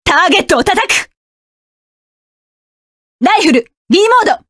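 Young mecha pilot woman, fierce, cold, commanding, curt battle shouts, very high voice, dry studio sound. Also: big pitch swings, measured pace, dry recording, full character voice. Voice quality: sharp, commanding. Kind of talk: anime game voice lines.

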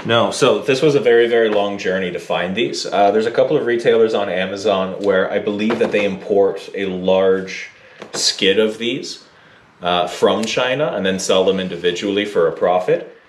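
A man's voice: on the low side, normal speed. A man in his thirties with dry, sarcastic humor, co-hosting a motorcycle build video in a home garage. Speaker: co-host of a motorcycle build channel. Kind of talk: casual workshop chat. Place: home garage workshop, indoors. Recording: echoey garage with clear noise.

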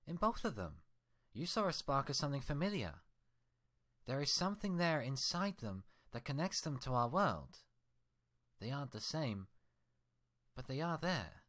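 A man's voice: medium pitch, big pitch swings, measured pace, long pauses, a bit bright, pitched above the performer's own voice. A man voicing the sarcastic, mocking mirror reflection of a female unicorn. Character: sneering mocking mirror twin of a unicorn mare.

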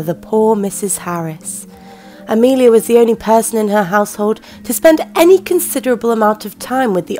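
A woman playing a wry, observant detective narrating a radio crime drama in measured tones. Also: mid-range voice, close to an everyday voice.

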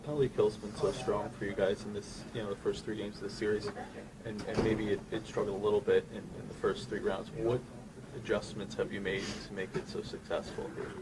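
A man's voice: on the low side, level tone, a little fast. A man asking a press question as a sports reporter in a locker room. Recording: distant voice amid locker room chatter.

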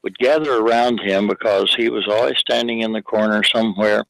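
A man's voice: lowish voice, fairly even intonation, brisk, creaky, a bit bright, no echo, poor audio. An older man calling in to a radio show, telling a rambling, fond story about an old friend. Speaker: caller. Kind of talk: phone-in call.

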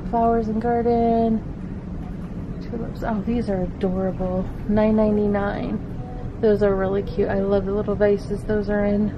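A woman's voice: medium pitch, rough recording. A middle-aged woman filming a shop-with-me vlog in a home decor store, chatting about prices and cute items on the shelves while she holds the camera. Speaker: vlogger. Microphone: handheld camera. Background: background music.

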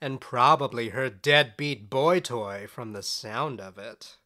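An actress playing a mischievous, gleeful fairy sprite who teases theatrically in a modern audio drama. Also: female voice, a bass voice, takes it slowly, bright, forceful tone, full character voice. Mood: gossipy. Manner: teasing, theatrical.

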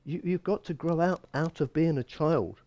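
A man's voice: fairly high pitch, normal speed, warm tone, no room sound, muffled recording. A man in his sixties giving an expository Bible teaching sermon as a pastor, emphatic and given to repeating phrases. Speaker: bishop and pastor. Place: small church room, indoors.

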